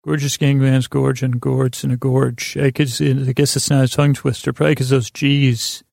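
A man with a creaky voice hosting a podcast, improvising a rambling bedtime monologue, halting and stammering as he repeats words. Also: medium pitch, level tone, darkish tone, no echo, clean studio sound. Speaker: podcast host.

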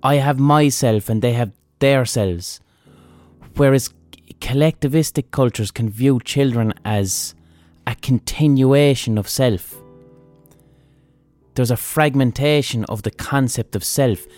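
A man's voice: medium pitch, slow, darkish tone, no room sound, soft background music. Blunt, swearing Irish man. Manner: blunt and profane, thinking aloud. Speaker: podcast host. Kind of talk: casual opinion monologue.

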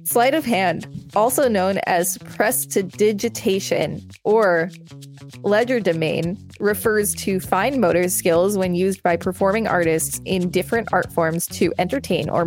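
A woman's voice: mid-range voice, darkish tone. A woman hosting a podcast, reading encyclopedia text aloud and adding casual commentary. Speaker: podcast host.

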